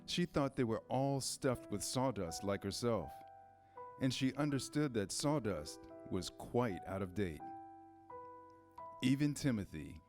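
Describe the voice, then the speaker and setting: A man narrating a children's story as scripted reader's theater. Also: lowish voice.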